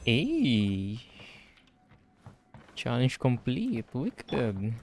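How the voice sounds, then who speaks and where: A man's voice: medium pitch, huge pitch swings, drawn-out words, a little mellow, a little hiss or hum. A man with a Filipino accent giving casual, slangy commentary as a livestreamer playing a game. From Philippines. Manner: mixes in Tagalog. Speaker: VTuber game streamer.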